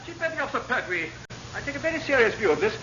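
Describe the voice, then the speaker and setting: A man's voice: extremely high pitch, sing-song, very fast, lo-fi sound. An actor playing a fussy, exasperated naval officer in a radio comedy, formal and emphatic as he reprimands. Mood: stern. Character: exasperated, authoritative naval officer, stern but rather fussy. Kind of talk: classic British radio sitcom acting. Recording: noisy, band-limited vintage radio recording.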